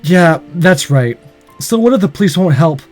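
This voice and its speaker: A man's voice: a little high, lively intonation, normal speed, faint music behind, lightly acted. Man reading as earnest, determined young game hero. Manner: earnest, dramatic inner monologue. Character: determined, anxious young male visual novel hero.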